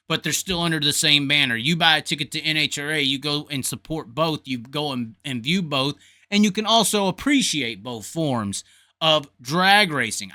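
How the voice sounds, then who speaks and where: A man's voice: fairly high pitch, bright, forceful tone. A man hosting a talk show, giving blunt, folksy, opinionated casual commentary with some swearing and repeated phrases for emphasis. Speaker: host of a motorsports talk show.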